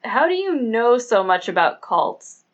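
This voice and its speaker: A sober female police inspector in an audiobook novel, read by a man. She is skeptical and firm, laying out her reasoning in measured phrases. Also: very high-pitched, very animated, fairly bright tone, exaggerated voice. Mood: cautious.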